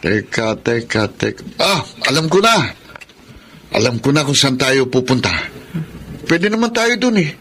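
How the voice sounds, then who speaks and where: An actor playing a sly, easygoing young gambling buddy in a radio drama, slangy and coaxing, with a Filipino accent. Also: male voice, medium pitch, very animated, measured pace, a bit bright, slight background noise. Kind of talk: Tagalog radio drama acting.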